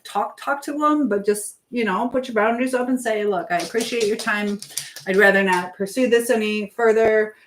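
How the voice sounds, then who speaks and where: A middle-aged woman hosting a casual livestream, rambling and thinking aloud as she answers viewer comments. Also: medium pitch. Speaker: livestream host. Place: at home while preparing food. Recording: clearly noisy livestream with clicks.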